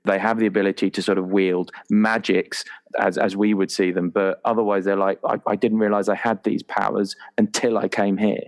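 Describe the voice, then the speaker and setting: Low-voiced man chatting casually. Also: flat delivery, moderate pace. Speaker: podcast guest, tabletop roleplayer. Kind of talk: casual chat about a game character.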